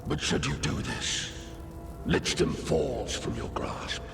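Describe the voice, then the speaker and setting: An actor playing a stern, ancient undead lich in a fantasy game, grave and formal with a gravelly voice. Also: male voice, mid-range voice, takes it slowly, music in the background. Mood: solemn, stern. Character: solemn ancient male undead lich elder, stern judge.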